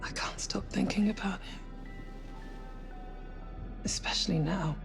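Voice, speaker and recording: An actress playing a confident but quietly grieving young woman astronaut, soft-spoken and understated in a naturalistic game scene. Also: medium pitch, measured pace, vocal fry, in a whisper, music in the background. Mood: wistful. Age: young adult. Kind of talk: naturalistic cinematic game dialogue.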